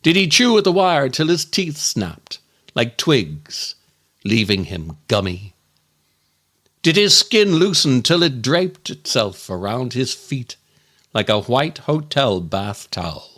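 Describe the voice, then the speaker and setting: A man performing a scripted comic monologue as a podcast host, wry and theatrical, with dramatic pauses. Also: medium pitch, very animated, fairly bright tone.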